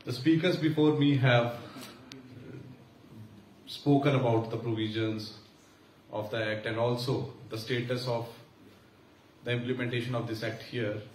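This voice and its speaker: A man with an Indian English accent giving a formal, measured speech at a conference, pausing with fillers. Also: medium pitch, steady tone, a little mellow. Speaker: senior official giving keynote. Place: large conference hall. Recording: echoey hall from far off, faint hiss.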